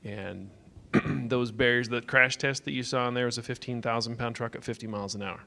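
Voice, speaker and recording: A man's voice: medium pitch, fairly even intonation, a little fast, vocal fry, pushed and bright. A man speaking on a conference panel, explaining technical points in a matter-of-fact way. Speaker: security consultant on a panel. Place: conference stage.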